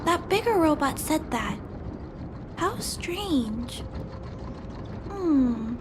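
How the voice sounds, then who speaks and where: High-voiced, gentle little girl. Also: big pitch swings, drawn-out words, noisy background, exaggerated voice. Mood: curious, puzzled. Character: gentle, curious, earnest little girl investigating clues. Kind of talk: video game voice acting. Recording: loud music behind the voice.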